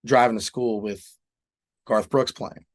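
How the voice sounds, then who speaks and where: A man's voice: medium pitch, steady tone, a little slow. A middle-aged man from Southern California, a guest and singer-songwriter, telling stories in casual interview chat with candid, casual swearing.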